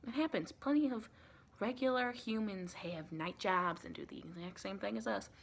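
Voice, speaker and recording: A woman playing a friendly, folksy female vampire in a soft-spoken ASMR roleplay, chatty and reassuring in a rambling, colloquial way. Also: medium pitch, moderate pace, close to an everyday voice. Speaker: ASMR performer.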